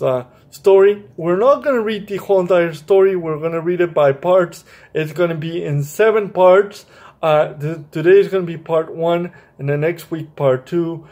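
A young man with a slightly high voice hosts a story time for children. He speaks very slowly and haltingly, often doubling back on a phrase as he addresses his young listeners. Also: a little mellow. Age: young adult. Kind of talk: children's story time, read-aloud and chat to viewers. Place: small bright room indoors.

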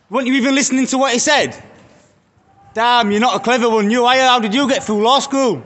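British street vlogger in his thirties, high light voice, chatty and mocking, phone at arm's length outdoors. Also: fairly bright tone. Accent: British English. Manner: chatty, addresses viewers as guys, mocking jabs. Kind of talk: walking selfie vlog chat. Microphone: phone held at arm's length. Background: faint street traffic.

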